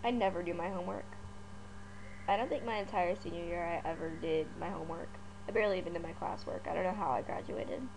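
A woman's voice: fairly low pitch, quick pace. A woman with a stuffy cold, chatting casually as she plays a visual novel, reading lines aloud between asides. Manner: reads game text aloud, chatty asides, frequent laughs. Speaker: let's play gamer. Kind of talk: casual gameplay commentary. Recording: mains hum in background.

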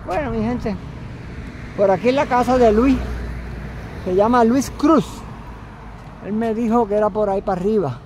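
A man from Puerto Rico with a Puerto Rican accent, vlogging casually as he walks outdoors, halting, with many fillers and restarts. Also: sits high, a little mellow, slight background noise. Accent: Puerto Rican Spanish. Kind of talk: casual walking vlog. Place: outdoors, walking.

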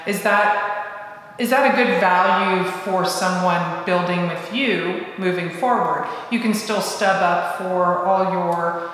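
A woman's voice: on the low side, takes it slowly, a bit bright, heavy reverb, in a large hall, low-quality recording. A woman in her fifties giving a house tour, enthusiastic, asking questions and affirming her co-host, in a large empty room. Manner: enthusiastic, asks leading questions, affirms often. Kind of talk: casual house tour chat.

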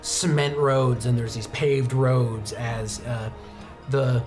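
A man in his thirties narrating a tabletop roleplaying game as game master, improvising descriptions haltingly. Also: mid-range voice, fairly even intonation, music in the background. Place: indoor studio set, behind a game master screen.

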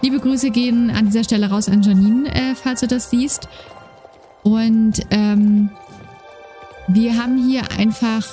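A woman's voice: fairly high pitch, fairly even intonation, a little slow. A woman with a slight lisp, chatting casually while playing a horse game, with many fillers, on a desk microphone. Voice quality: slight lisp, soft trailing S sounds. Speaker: gaming YouTuber. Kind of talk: casual let's-play commentary. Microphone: desk microphone with noise gate. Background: game music and horse hoofbeats.

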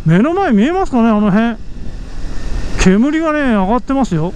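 A man's voice: very high-pitched, big pitch swings, moderate pace, darkish tone, rough recording. A man giving casual, exclamatory riding commentary as a motorcycle vlogger, easily amazed and often talking to himself. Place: outdoors on a moving motorcycle. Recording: faint road and engine noise. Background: vehicle and outdoor road noise.

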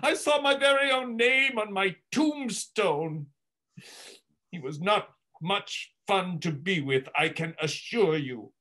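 Man acting a grumbling old miser, high sharp voice, slow indignant delivery, sardonic stage drama. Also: expressive, heavily acted. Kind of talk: theatrical in-character retelling.

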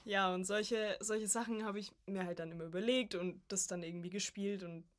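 A woman's voice: medium pitch, fairly even intonation, a little fast. A woman in her early twenties from Germany, talking informally as a podcast guest about herself. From Coburg, Germany. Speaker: podcast guest, voice acting student. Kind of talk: casual chat, personal anecdotes.

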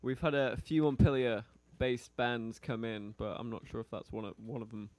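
A male radio host with a foreign accent, interviewing a musician in a relaxed, hesitant way. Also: medium pitch, normal speed. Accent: foreign, non-local. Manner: relaxed, hesitant, many fillers. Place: small radio studio. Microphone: studio mic on a stand.

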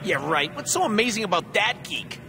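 A hyperactive, cocky mercenary from an action game. His voice sits a little high and leaps all over the place, sarcastic and brash. Music plays clearly behind him. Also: big pitch swings, brisk, full character voice. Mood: indignant. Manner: brash, sarcastic, exaggerated swooping delivery. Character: cocky, foul-mouthed, hyperactive adult male antihero mercenary. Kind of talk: video game cutscene acting.

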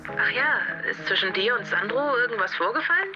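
Audio drama role: casual, probing mother, muffled phone filter, clear music bed. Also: fairly high pitch, lively intonation, brisk. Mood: casual, teasing. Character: concerned, chatty mother of a teenager. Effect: phone call filter. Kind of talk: scripted audio drama dialogue. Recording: dull phone-call sound over music. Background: clear background music.

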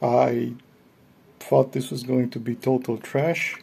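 A man giving a casual, unscripted hobbyist review, thinking aloud in a halting way. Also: medium pitch, little pitch movement, gravelly, warm tone, breathy edge. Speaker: hobbyist reviewer of cassette tapes.

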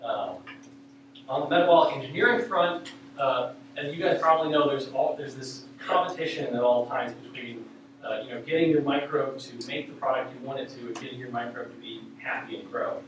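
A man's voice: mid-range voice, a bit bright. Young man presenting in a very echoey hall. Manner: hesitant, halting delivery with frequent ums. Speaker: presenter of a research news roundup. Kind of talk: informal academic presentation. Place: university lecture hall, indoors. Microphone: far camera microphone. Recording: echoey room, distant mic, clear background noise.

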